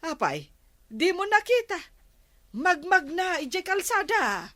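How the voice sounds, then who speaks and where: A slow, sharp, nagging villager. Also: female voice, extremely high pitch, sing-song, dry recording, full character voice. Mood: calling, urgent. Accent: Ilocano. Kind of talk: Ilocano radio comedy drama acting.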